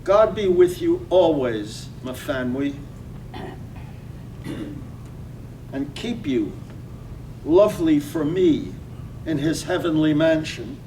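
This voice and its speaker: A man acts a thrifty, lovesick draper in a poetic radio play. He declaims earnestly and slowly in a slightly raised pitch, lingering on phrases. The recording is distant, with a faint rumble. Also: animated, dark tone. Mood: tender, solemn. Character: lovesick, thrifty draper reading out his love letter. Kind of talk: stage reading of poetic radio drama.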